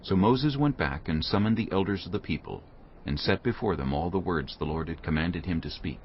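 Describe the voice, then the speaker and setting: A man narrating scripture from a script in a measured storytelling manner. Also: deep voice, expressive, highs cut off, a little hiss or hum.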